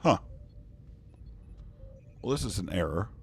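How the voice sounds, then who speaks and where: Gravelly low-voiced man streaming. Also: big pitch swings, takes it slowly, soft background music. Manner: easygoing, thanks viewers, jokes in character as a starship commander. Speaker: poker streamer. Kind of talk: casual live-stream chat while playing online poker.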